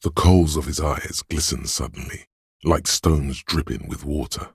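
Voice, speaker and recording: A game's inner sense of perception, watchful, detached, measured, very husky, dry close sound. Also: male voice, a bass voice, steady tone, dry recording, exaggerated voice. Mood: observant. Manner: slow, observant, measured descriptions. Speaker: voice actor for a game character. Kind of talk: scripted game voice lines.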